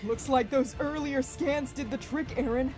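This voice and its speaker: A woman playing an enthusiastic, excitable scientist in a sci-fi parody, with an instructive manner. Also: sits high, measured pace, over loud music. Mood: elated. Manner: exuberant, instructive. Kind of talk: animated sci-fi parody voice acting.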